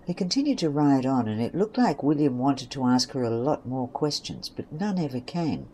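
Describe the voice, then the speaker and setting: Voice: medium pitch, lively intonation, moderate pace, dark tone. Story narrator over faint noise and ambience.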